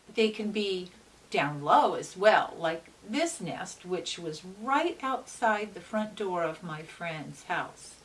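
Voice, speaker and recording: A woman teacher giving an educational read-aloud for children, explaining as she goes with deliberate, instructive phrasing and emphasis on key words. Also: on the low side. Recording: distant mic with faint hiss.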